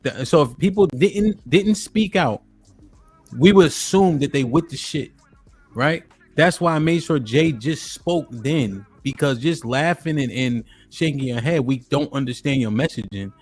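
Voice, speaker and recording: Husky man calmly steering a debate. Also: on the high side, a little slow, soft background music. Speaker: panelist. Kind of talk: heated panel debate.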